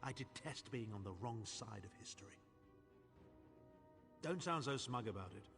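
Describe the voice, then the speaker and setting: A man's voice: mid-range voice, animated, normal speed, creaky. A man playing a haughty older imperial chancellor in a video game, speaking in a pompous, sardonic, erudite way. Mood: bitter, haughty. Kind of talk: theatrical video game dialogue. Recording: long hall reverb, faint music behind.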